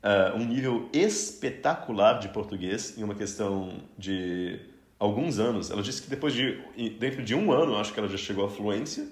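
A man's voice: on the low side, animated, normal speed, roomy sound. A man in his thirties from Brazil hosting a casual interview, chatty, with frequent restarts and easy laughter. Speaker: podcast host interviewing a guest.

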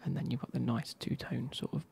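A man, reviewing football kits in a soft, casual whisper with wry asides. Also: mid-range voice, steady tone, brisk, creaky.

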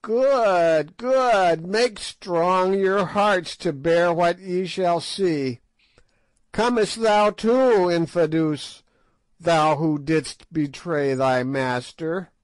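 An ancient witch woman with a shrill, piping voice that climbs high above his usual register, gloating slowly over every word, as a male narrator puts her on. Her sly, wicked cackle comes out loud and drawn out, recorded close and dry with no room sound. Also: dry recording, poor audio. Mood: mocking. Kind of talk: audiobook character voice.

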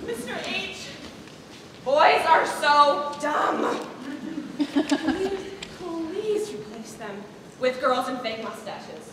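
Casting: young woman playing bossy, exasperated dance-rehearsal leader, stage comedy, very slow and swooping, heard from far off in a noisy auditorium. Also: high voice, lively intonation, heavy reverb, in a large hall, heavily acted. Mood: pleading. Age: young adult. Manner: bossy, theatrical, emphatic counting and scolding. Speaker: student actor in a school one-act play. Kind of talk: stage comedy acting, projected. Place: large theatre stage, indoors. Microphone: distant audience camera.

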